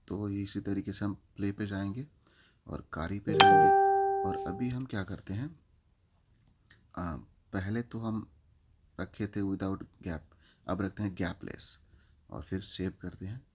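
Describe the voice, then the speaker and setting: A man's voice: sits low, fairly even intonation, a little slow, pauses often, a little hiss or hum. A man giving an informal step-by-step app walkthrough, explaining with examples and repeating his instructions. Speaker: app tutorial presenter.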